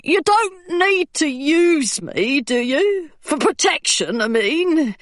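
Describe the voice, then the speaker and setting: A jittery little stone gargoyle, as a woman puts him on, higher than her usual voice. He speaks slowly and hesitantly, with a lively lilt, on a dry close recording. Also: no room sound, full character voice. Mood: nervous. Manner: hesitant, timid. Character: nervous small male stone gargoyle.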